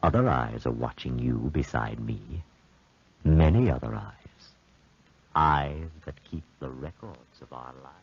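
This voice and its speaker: An uncanny, watchful man speaks calmly and knowingly. The voice is profoundly deep and husky, in measured 1940s radio horror style on a dull old recording. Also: no room sound, heavily acted. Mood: knowing, ominous. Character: ominous, uncanny calm male double, watchful conscience.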